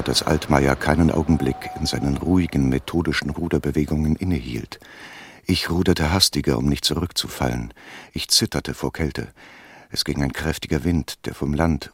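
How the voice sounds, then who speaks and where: Very deep, husky thriller narrator. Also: male voice, fairly bright tone, full character voice. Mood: sober, measured. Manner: measured literary storytelling. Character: uneasy male first-person narrator of a crime thriller. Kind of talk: scripted narration in a radio crime drama.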